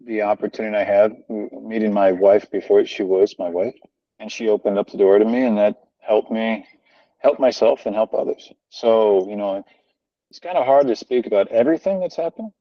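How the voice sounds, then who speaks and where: A low-voiced American man talks on a video call, earnest and rambling with plenty of ums. The audio is dull and lo-fi, with a dry, close sound and no room echo. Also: moderate pace. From United States. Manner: rambling, filler-heavy, earnest. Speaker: guest, founder of a social organization. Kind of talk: casual conversational interview. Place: home, family moving about behind him.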